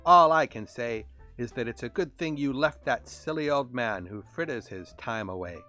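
Guy doing a grumpy old reindeer uncle for a kids story, croaky n huffy, really animated. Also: mid-range voice, moderate pace, soft background music. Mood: scornful, angry. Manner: grumbling, huffy, sarcastic. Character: cranky old reindeer uncle, gruff and scornful.

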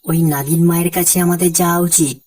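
A woman's voice: fairly low pitch, steady tone, quick pace, warm tone, a little hiss or hum, lightly acted. A woman voicing a worried older village man in a cartoon, anxious and scolding. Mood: hushed.

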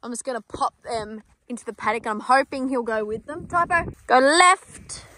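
A woman's voice: high voice, animated, moderate pace, sharp tone, slight background noise. A young Australian woman on her farm, chatting casually to a phone camera in her ute and out in the paddocks, blunt and self-amused, swearing freely. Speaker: farmer vlogger. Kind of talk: casual vlog chat. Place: inside a ute, then outdoors in open paddocks. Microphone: phone camera held at arm's length.

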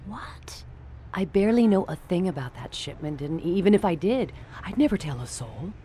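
Low-voiced, coy torch singer. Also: female voice, sing-song, moderate pace, dark tone, a little hiss or hum. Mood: earnest, uneasy. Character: lovestruck 1940s nightclub torch singer, coy and trusting. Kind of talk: old-time radio noir drama acting.

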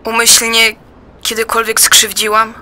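A woman giving a dramatic poetry recitation in a plaintive, reproachful and deliberate manner. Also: fairly high pitch, monotone, sharp tone. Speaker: performer in an audio play. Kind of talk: dramatic recitation of a personal poem.

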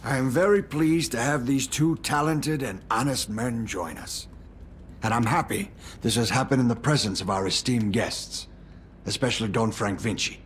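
An actor playing a middle-aged mafia don in a game cutscene, speaking formally, with ceremonial gravity. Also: male voice, mid-range voice, normal speed, a little hiss or hum, close to an everyday voice. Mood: formal, proud.

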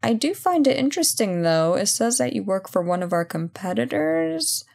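A woman playing a brisk, playful company boss in an audio roleplay job interview, chatty and full of wry asides. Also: a little high, big pitch swings, creaky, a little mellow, full character voice. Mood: wry, friendly. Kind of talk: ASMR roleplay, one-sided conversation.

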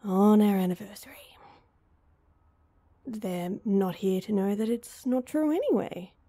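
A woman's voice: medium pitch, unhurried, warm tone, natural voice. A woman playing an awkward, heartbroken young woman in an intimate roleplay audio drama, speaking hesitantly and with self-deprecation. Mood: dejected, muttering.

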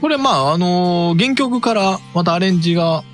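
A man's voice: high-pitched, lively intonation, moderate pace. A man in his thirties chatting casually and reacting as a podcast co-host. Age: 30s. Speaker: podcast co-host reviewing songs. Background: background music.

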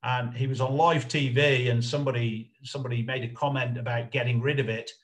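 A middle-aged man giving an informal illustrated history lecture over a video call, explaining in a halting, hesitant way. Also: mid-range voice, steady tone. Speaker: lecturer, researcher presenting a talk.

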